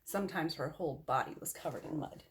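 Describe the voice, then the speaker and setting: A woman reading a picture book aloud to children in an expressive storytelling style. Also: low voice, quick pace. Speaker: storybook reader.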